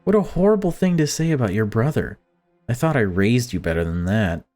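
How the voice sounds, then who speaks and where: A man's voice: medium pitch, lively intonation, a little fast, a little mellow, faint music behind, lightly acted. A man voicing a deluded, self-pitying mother who dotes and snaps, reading visual novel dialogue aloud. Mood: indignant.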